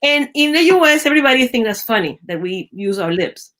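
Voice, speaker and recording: Lively Venezuelan woman telling stories. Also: a little high, fairly quick. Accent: Venezuelan Spanish. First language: Spanish. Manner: lively storyteller who acts out quoted voices and often restarts phrases. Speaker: guest, biologist. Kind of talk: conversational storytelling interview.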